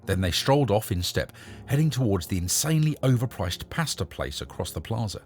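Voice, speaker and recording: A man with a husky voice narrating a short story in a measured, expressive storytelling manner. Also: fairly low pitch, faint music behind. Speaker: narrator and host reading short stories. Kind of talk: scripted story narration with a short casual introduction.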